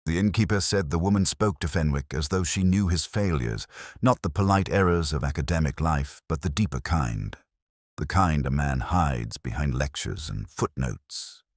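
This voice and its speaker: Very deep, brooding male actor as detective narrator. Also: no room sound, studio quality. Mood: brooding, reflective. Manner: measured, literary, introspective. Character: melancholic, introspective male police inspector, brooding and doubtful. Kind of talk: scripted first-person detective drama narration.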